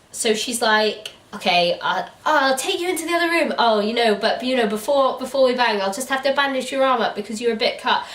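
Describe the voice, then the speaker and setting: British female book reviewer, animated, giggly and sweary, picked up from a distance in an echoey room. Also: medium pitch. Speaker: host of a book review show. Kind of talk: casual comedic book chat. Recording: roomy echo, distant mic.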